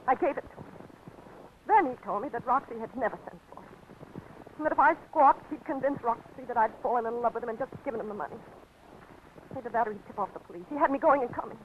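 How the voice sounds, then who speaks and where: An actress playing a frightened young woman on the run, rushing through a tense confession in a 1940s radio drama. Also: on the high side, close to an everyday voice. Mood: fearful. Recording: dull old radio broadcast with faint hiss.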